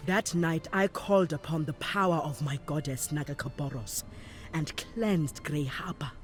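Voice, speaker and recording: An actress playing a calm, devout warrior priestess of a sea goddess in a video game, speaking in a measured, solemn way. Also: female voice, sits low, lightly acted. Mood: grave. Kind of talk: dramatic video game acting. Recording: music under the voice.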